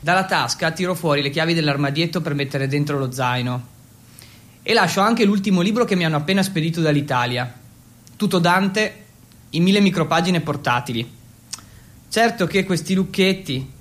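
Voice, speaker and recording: An actor playing an excitable, awkward Italian teenage exchange student, telling his story in an intense, confessional radio monologue. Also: fairly high pitch, moderate pace, natural voice. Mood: confiding. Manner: intense, confessional, switching between Italian and English. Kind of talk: dramatic first-person monologue for radio.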